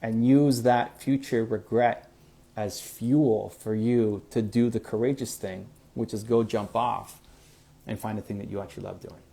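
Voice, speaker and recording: A man in his forties hosting a motivational livestream, reading out viewer questions and answering them in an energetic, encouraging, chatty way. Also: on the low side, a little slow, creaky. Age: 40s. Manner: energetic, encouraging, conversational with fillers. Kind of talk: live Q&A answering viewer comments.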